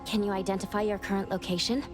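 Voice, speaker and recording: A woman's voice: medium pitch, fairly even intonation, fast talker, lightly acted. A woman playing a level-headed, cautious young companion in an anime-style video game, speaking in a composed, polite, practical way. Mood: focused. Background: game music.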